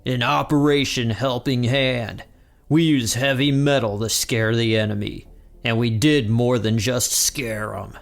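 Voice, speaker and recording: A man's voice: mid-range voice, steady tone, a little slow, vocal fry, soft background music. A man voicing a macho, punchy announcer in a cartoon army recruiting advert. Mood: macho, promotional.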